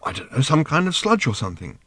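A bewildered, mild-mannered man explains himself hesitantly, fumbling for words, as a male narrator plays him. His voice rises and falls animatedly, bright and dry, close to the mic. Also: a little high, wildly swooping pitch, fast talker, no room sound, pitched above the performer's own voice, full character voice. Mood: explaining. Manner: hesitant, apologetic.

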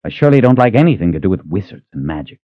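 A man voicing a big gruff middle-aged male coachman who sounds weary and patient. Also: low voice, big pitch swings, very fast, no room sound, lo-fi sound.

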